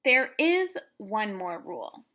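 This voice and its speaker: A woman's voice: medium pitch, sing-song, slow pace, pushed and bright, heavily acted. A woman voicing a bossy but caring female storybook shape in an emphatic, earnest, exclaiming way. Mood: firm.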